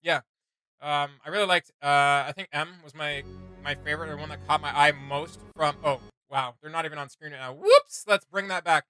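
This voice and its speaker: A man's voice: fairly high pitch, brisk, sharp tone, clean tone, faint music behind. A man giving casual reaction commentary as a video host, thinking aloud in informal, slangy language.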